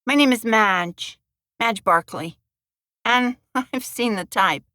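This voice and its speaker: A woman's voice: a little high, slow pace, bright, forceful tone, dry recording, clean studio sound, pitched above the performer's own voice. A woman voicing a bitter, sarcastic woman bartender who talks in a sing-song lilt.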